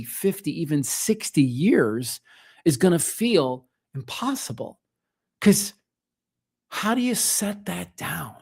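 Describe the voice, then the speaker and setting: A man's voice: a little high, darkish tone. A middle-aged man hosting a solo motivational podcast, speaking earnestly and emphatically, straight to the listener. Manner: earnest, emphatic, addresses listener directly with rhetorical questions. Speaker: podcast host and self-help coach.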